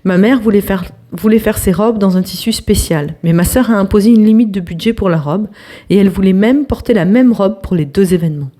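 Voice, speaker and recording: A young woman reading an online post aloud as a storytelling host, with lively delivery, some hushed asides, and chatty comments to her viewers. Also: mid-range voice, a little fast.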